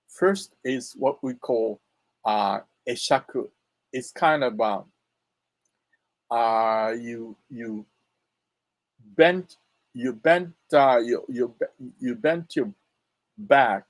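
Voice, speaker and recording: Slow, halting man with a Japanese accent. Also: mid-range voice, expressive, dry recording. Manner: halting, many fillers and restarts. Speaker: pronunciation coach. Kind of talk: casual spoken lesson.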